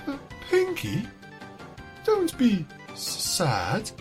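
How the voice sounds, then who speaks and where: A woman voicing a melodramatic dying cupcake in a cartoon fan story, consoling and solemn, speaking haltingly. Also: on the high side, wildly swooping pitch, faint music behind, exaggerated voice. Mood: faint, consoling.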